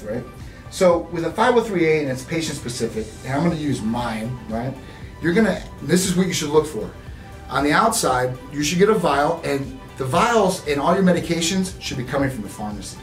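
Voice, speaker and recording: A man in his forties gives a direct-to-camera explainer as a clinic spokesman, emphatic and tagging his points with "right?". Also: a little high. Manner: emphatic, repeats "right?" often. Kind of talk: direct-to-camera educational talk. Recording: roomy, distant, faint music bed.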